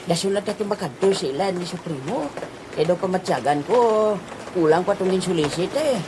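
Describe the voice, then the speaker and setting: A woman's voice: lowish voice, animated, moderate pace, natural voice. A woman playing a chatty, delighted holidaymaker in an audio drama, on a noisy recording. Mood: cheerful.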